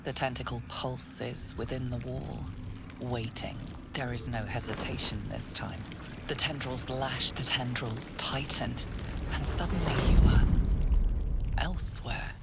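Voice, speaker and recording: A woman narrating a dark fantasy video game as an ominous, composed storyteller, measured and suspenseful. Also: creaky, loud music behind the voice, exaggerated voice. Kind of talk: scripted second-person fantasy narration. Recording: loud rumbling ambience behind narration.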